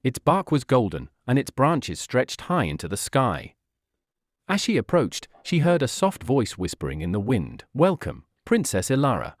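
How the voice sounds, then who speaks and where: Male narrator for kids' storybook: medium register, lively melodic delivery, dry recording. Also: medium pitch, moderate pace, no room sound. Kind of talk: scripted children's fairy tale narration.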